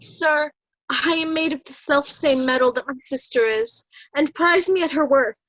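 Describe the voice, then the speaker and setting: A woman's voice: sits high, fairly bright tone, no echo, rough recording. A woman playing a fawning second princess in a reading of Shakespeare. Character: fawning second princess outdoing her sister. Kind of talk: Shakespearean reader's theatre.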